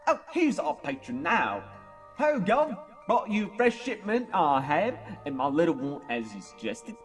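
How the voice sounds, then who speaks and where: A doting, rustic pony father played by a man, animated and fairly high-voiced. He talks in homely, folksy turns in a storybook drama, a little distant from the mic. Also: measured pace. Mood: hearty. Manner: rustic, folksy phrasing with tags at sentence ends. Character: doting, folksy working-class pony father delivering goods. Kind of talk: dramatised fan fiction audio. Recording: distant mic, music behind.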